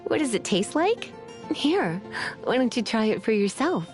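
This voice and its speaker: A woman's voice: on the high side, very animated, normal speed, a little mellow, soft background music. An actress voicing a teasing, languid witch in a video game, flirtatious and theatrical. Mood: playfully spooky. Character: teasing, sultry witch librarian, playful and languid. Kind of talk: scripted video game voice lines.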